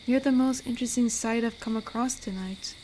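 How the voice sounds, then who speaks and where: A woman's voice: on the high side, dark tone, a little hiss or hum, close to an everyday voice. A woman playing an amiable, teasing werewolf who protects a forest, speaking in an intimate, conversational roleplay drama. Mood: warm, welcoming. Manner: unhurried, teasing, conversational with pauses. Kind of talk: roleplay audio drama, intimate one-to-one address.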